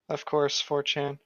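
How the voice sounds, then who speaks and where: A young man bantering casually and reading aloud with deadpan sarcasm and free swearing. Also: fairly high pitch, no room sound, lo-fi sound. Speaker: co-host reading and mocking a book.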